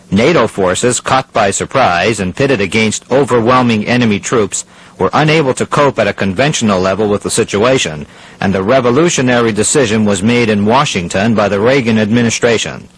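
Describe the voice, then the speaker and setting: A man's voice: on the low side, a little hiss or hum, lightly acted, poor audio. A man playing a serious radio news anchor, reading a formal scripted crisis bulletin. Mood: grave, dramatic.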